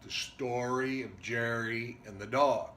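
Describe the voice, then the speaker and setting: A man performing a dramatic stage monologue as an intense, rambling drifter, speaking in emphatic broken phrases with pauses. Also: mid-range voice, steady tone, a bit bright. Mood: musing, insistent. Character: Jerry, intense rambling drifter confiding a story. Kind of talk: theatrical dramatic monologue reading. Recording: noticeable background noise.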